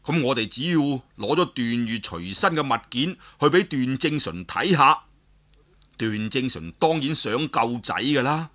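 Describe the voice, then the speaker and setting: A man's voice: mid-range voice, lively intonation, a little slow, bright, forceful tone. A man with a Cantonese accent telling a story in a colloquial, explanatory way, putting rhetorical questions to listeners. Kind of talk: dramatic Cantonese storytelling narration.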